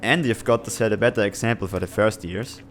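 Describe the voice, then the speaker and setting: A man playing an earnest, responsible, tech-savvy teenage student in a comic fan dub, speaking in a measured, slightly formal, exasperated way. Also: on the low side, a little hiss or hum, lightly acted. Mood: exasperated, urgent.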